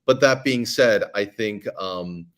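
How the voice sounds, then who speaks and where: A man's fairly low voice, talking casually as a podcast guest. He gives practical advice in a chatty way, with many ums and restarts. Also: slow pace, a bit bright.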